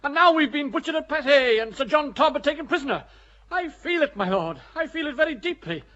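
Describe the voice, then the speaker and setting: An indignant English chaplain with a high, bright, sharp voice. He bristles and presses every complaint with fervour. The sound is dull and lo-fi, like an old radio play. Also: animated, brisk, exaggerated voice. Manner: indignant and fervent. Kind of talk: classic radio drama acting.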